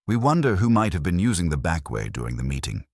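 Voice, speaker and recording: A man voicing a mild, measured police inspector in an audio story, polite, understated and quietly probing. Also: low voice, sing-song, dry recording, studio quality.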